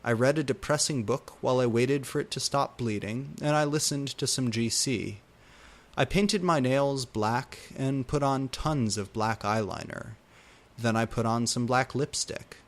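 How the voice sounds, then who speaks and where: A man reading fan fiction aloud in a deliberate, theatrical way with exaggerated emphasis. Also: mid-range voice. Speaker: reader.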